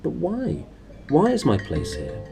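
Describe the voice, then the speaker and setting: Man voicing Lyra, a defiant girl, pitched up. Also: wildly swooping pitch, unhurried, creaky, dark tone, faint music behind, exaggerated voice. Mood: protesting. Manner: pleading, insistent questioning.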